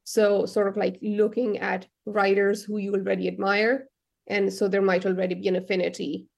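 Gravelly-voiced woman. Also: mid-range voice, flat delivery, normal speed. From Pakistan. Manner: thoughtful, many ums and restarts. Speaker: novelist, radio guest. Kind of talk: radio interview answers.

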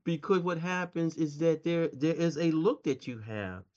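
A man's voice: on the high side, animated, moderate pace, darkish tone. Laughing male interviewer, dull lo-fi sound. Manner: chatty, laughs a lot, rambling questions. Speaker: interview host. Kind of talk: casual interview conversation.

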